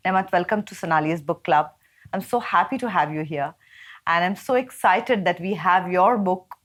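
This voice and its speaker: A woman with a notably low voice hosts a book club conversation. She sounds enthusiastic and affirming toward her guest. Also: moderate pace. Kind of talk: hosted interview.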